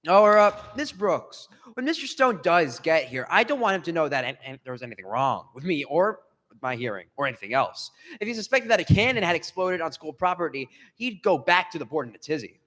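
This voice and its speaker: A man's voice: a little high, very animated, brisk, fairly bright tone. A man playing a pompous, half-deaf school principal in a comic radio play, sputtering and stammering. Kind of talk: old-time radio comedy acting. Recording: echoey hall with long reverb.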